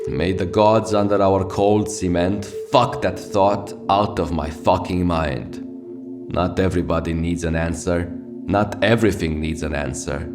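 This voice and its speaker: Casting: male actor as brooding late radio host of a surreal city, low voice, poetic and profane, loud music underneath. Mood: bitter, defiant. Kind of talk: scripted fiction radio monologue. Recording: music behind the voice.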